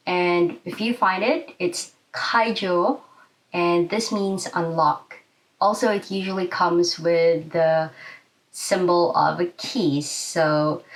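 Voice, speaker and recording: A young woman presents a casual tutorial, talking directly to the camera. Her voice sits a little lower than most women's. She explains things step by step, picked up from slightly away from the mic in a plain indoor room. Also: recorded from a distance, low-quality recording. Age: young adult. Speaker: video host and presenter. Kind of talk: informal instructional explainer to camera.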